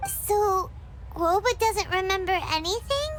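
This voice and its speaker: A woman voicing a tiny, childlike female fairy companion, excitable and inquisitive, in a cartoonish video game. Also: very high-pitched, unhurried, poor audio. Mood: curious. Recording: music clearly behind the voice.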